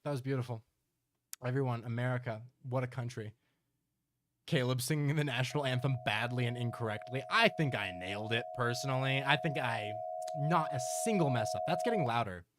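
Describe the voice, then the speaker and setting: A man's voice: mid-range voice, a little fast, fairly bright tone. A man doing casual live reaction commentary as a streamer, with a joking, sarcastic manner. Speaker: streamer reacting to horror videos.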